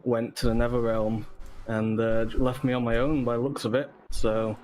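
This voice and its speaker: A man who is racing while chatting on a voice call, relaxed and rambling, often chuckling. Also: mid-range voice, moderate pace, a little hiss or hum, poor audio. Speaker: racing driver guest on voice call. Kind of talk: casual chat while racing.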